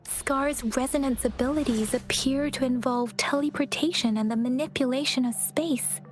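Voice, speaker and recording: An actress as a composed young heroine, high bright voice, soft-spoken, game music behind. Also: female voice, normal speed, lightly acted. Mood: calm. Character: calm gentle young woman ally. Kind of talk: game cutscene voice acting.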